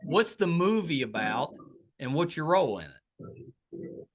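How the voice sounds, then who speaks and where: A man's voice: fairly high pitch, huge pitch swings, takes it slowly. A man hosting a podcast interview, upbeat and agreeable, with many short affirmations. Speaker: podcast host. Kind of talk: casual interview.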